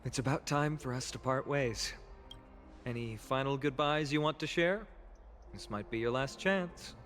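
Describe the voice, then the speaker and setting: A man's voice: mid-range voice, unhurried, natural voice. A man playing a nonchalant young hero in a video game, casual and wry with an earnest side. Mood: light, wry. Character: nonchalant young male hero, teasing yet sincere. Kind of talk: dramatic video game voice acting. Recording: game dialogue over loud music.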